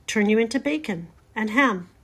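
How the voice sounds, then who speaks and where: A woman's voice: on the high side, lively intonation, moderate pace, fairly bright tone, breathy edge. A woman voicing a gloomy, world-weary old female sheep in a storybook, blunt and knowing. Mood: grimly knowing. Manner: matter-of-fact, snappish, knowing.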